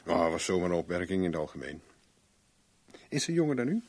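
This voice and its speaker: An actor in a Dutch radio drama playing a wry, flirtatious private investigator with a glib, teasing manner. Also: male voice, sits low, big pitch swings, brisk, darkish tone, heavily acted. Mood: probing. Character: wry, flirtatious, cool-headed male private investigator for hire.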